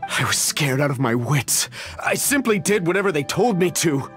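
Highish-voiced, anxious middle-aged official. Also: male voice, sing-song, moderate pace, fairly bright tone, faint music behind. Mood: anxious, confessing. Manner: flustered, earnest, explanatory. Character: anxious middle-aged male official, a fretful, pleading father. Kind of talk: video game voice acting.

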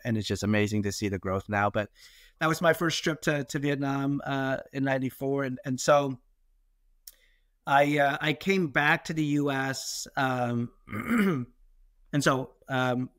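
A man's voice: mid-range voice, no echo, studio quality. A middle-aged man with an American accent, talking in a reflective, hesitant, conversational way as a guest telling his own story in an interview. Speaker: podcast guest, investor.

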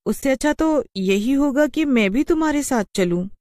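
An earnest young village wife in a cartoon, played by a woman. Her voice is lifted high and sounds close and dry, with an expressive, questioning, pleading delivery. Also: moderate pace, no room sound. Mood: surprised, pleading. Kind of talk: animated story dialogue.

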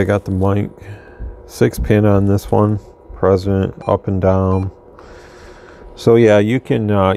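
A man's voice: low voice, creaky, slight background noise. A man casually demonstrating a radio at his workbench, rambling a little as he adjusts the gear. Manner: relaxed, rambling, talks while adjusting gear. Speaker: radio reviewer. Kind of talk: casual product demo. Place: workbench with radio equipment, indoors.

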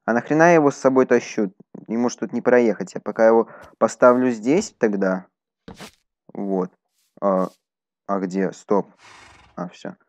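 A man's voice: lowish voice, moderate pace, pauses often, darkish tone, no room sound, dull audio. A man doing casual, chatty let's play commentary, talking to his viewers as he plays. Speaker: gaming YouTuber.

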